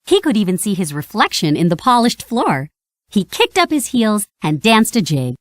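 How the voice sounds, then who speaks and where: Animated woman narrating a children's storybook. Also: medium pitch, normal speed, no echo, studio quality. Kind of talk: scripted children's read-along narration.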